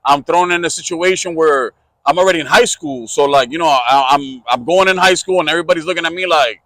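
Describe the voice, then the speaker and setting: Bright-voiced Puerto Rican man, rambling. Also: a little high, fast, low-quality recording. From Puerto Rico. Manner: rambling, full of fillers, acts out quotes in voices. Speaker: podcast co-host. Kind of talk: casual storytelling chat.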